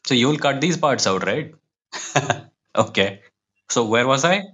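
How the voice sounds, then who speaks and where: A man's voice: mid-range voice, animated, unhurried, lo-fi sound, noisy background. A man with an Indian accent speaks as an interview guest in casual, self-deprecating conversation. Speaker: podcast guest, freelance writer.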